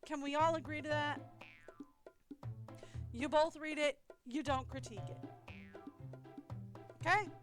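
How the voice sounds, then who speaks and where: A woman's voice: high-pitched, measured pace, long pauses. A woman livestreaming a game, reading its dialogue aloud and adding casual commentary. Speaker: livestreamer playing a visual novel. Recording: loud music behind the voice. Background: music.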